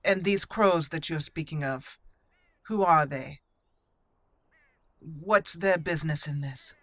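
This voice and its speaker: A woman hosting a roleplay let's play, voicing dialogue deliberately and theatrically and adding her own commentary. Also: sits low, dry recording. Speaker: gaming channel host.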